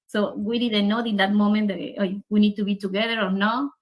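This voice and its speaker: Mexican woman speaking halting English. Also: medium pitch, flat delivery. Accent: Mexican Spanish. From Mexico City, Mexico. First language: Spanish. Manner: halting non-native English, laughs easily. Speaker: guest, player's mother. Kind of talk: casual interview.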